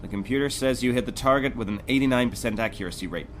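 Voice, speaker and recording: A man plays a brash firing-range instructor who barks commands and needles his recruits with sarcasm. His voice sits in a mid male range, gruff and drill-sergeant sharp, over a faint background hiss in this audio drama. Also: mid-range voice, quick pace, lightly acted. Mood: commanding. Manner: barking, mocking, drill-sergeant style.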